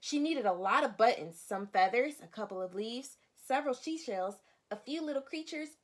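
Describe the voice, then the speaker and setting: A woman with a fairly high voice reads a children's picture book aloud. She speaks as a teacher would to young listeners, explaining as she goes and posing questions to them. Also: animated, moderate pace. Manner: instructive, addressing young children.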